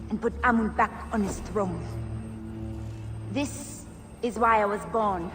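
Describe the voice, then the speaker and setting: An actress playing a proud, tormented, vengeful priestess of ancient Egypt, with theatrical intensity, in a video game. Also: female voice, fairly high pitch. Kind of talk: dramatic video game acting. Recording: music playing behind the voice.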